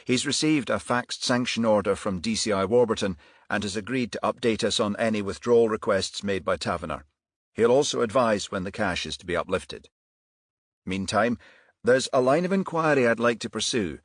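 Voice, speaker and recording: A man voicing a brisk, irritable detective in charge, clipped and commanding, in a crime audiobook. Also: on the low side, lively intonation, no echo, clean studio sound, voice pushed up. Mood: purposeful.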